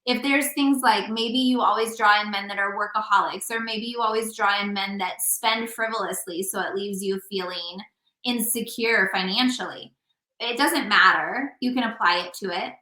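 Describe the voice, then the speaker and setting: A woman's voice: medium pitch, normal speed, pushed and bright. A woman hosting a relationship podcast as an intimacy coach, talking casually and guiding the talk, a little scattered. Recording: echoey room.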